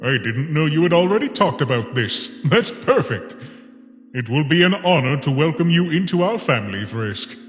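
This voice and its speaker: A man playing a calculating male schemer with a deliberate, persuasive, theatrical manner in a comic dub. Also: fairly high pitch, sing-song. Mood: coaxing. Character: manipulative male schemer urging a warrior, grave and calculating. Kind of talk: dramatic comic dub voice acting. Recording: echoey reverberant sound under loud music.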